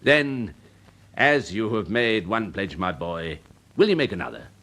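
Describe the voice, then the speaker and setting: Older English gentleman guardian, low-pitched, slightly husky, hugely animated intonation, pompous, urbane, insistent, period radio play. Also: unhurried, heavily acted. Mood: persuasive. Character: worldly, pompous older gentleman uncle and guardian, smoothly persuasive. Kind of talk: British radio period drama acting.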